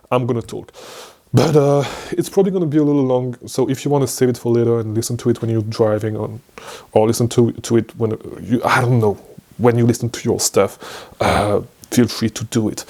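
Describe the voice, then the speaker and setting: A man's voice: medium pitch, darkish tone. A young man from Belgium whose first language is French, speaking English with a Belgian French accent, chatting loosely to camera as an online business coach, rambling with fillers and restarts. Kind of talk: casual freestyle talk to camera.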